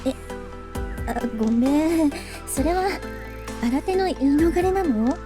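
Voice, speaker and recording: A woman voicing a cute, pert young anime woman in a Japanese visual novel, expressive and teasing. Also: high voice, normal speed. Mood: puzzled, annoyed. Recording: game audio under clear music. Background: game music.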